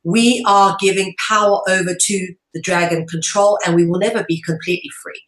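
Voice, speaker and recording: A woman's voice: lowish voice, steady tone, a little fast, fairly bright tone. Woman explaining earnestly in an echoey room. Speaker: interview guest, online show presenter. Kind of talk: informal interview, explaining at length.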